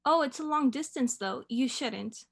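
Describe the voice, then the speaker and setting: A young woman playing a patient, indulgent mother in a radio play, gently chiding and mildly amused. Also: high voice, measured pace, gravelly, breathy edge, full character voice. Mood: friendly, chatty.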